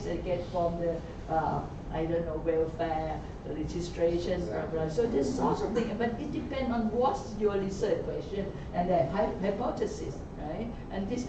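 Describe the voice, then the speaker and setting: A woman with a lowish voice speaks on a conference panel, explaining at length and checking in with "right?" as she goes. She sounds far from the mic in a very echoey room, and the sound is muffled, with a steady hum of background noise behind her. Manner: rambling explanation that keeps asking "right?". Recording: echoey, dull lecture-hall sound from a distant mic.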